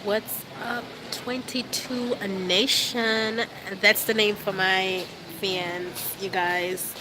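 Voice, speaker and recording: Young woman, stuffy from a cold, relaxed chatty live-stream host, mid-pitched, recorded on a handheld phone with noise behind her. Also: extremely slow. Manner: chatty, relaxed, with frequent fillers and laughs. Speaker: vlogger livestreaming to fans. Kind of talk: casual live chat. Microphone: phone held at arm's length.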